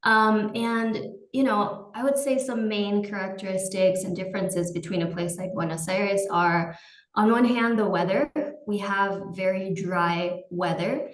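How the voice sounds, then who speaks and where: A woman's voice: mid-range voice, unhurried, roomy sound, rough recording. A young adult woman from Argentina, a podcast guest chatting casually and laughing easily. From Salta, Argentina. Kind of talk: casual interview chat. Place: at home in Salta.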